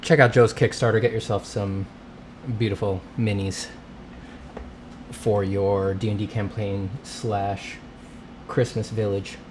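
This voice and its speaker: A man's voice: on the low side, flat delivery, slow, pauses often, noisy background. A congested man hosting a casual livestream, rambling and greeting his chat between coughs. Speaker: livestream host, artist. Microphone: streaming setup mic.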